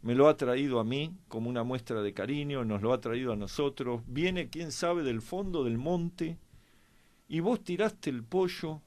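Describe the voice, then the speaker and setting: Halting Argentine man telling anecdotes. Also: medium pitch, expressive, a little mellow. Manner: halting, with frequent pauses and filler words. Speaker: guest commentator on a history and politics programme.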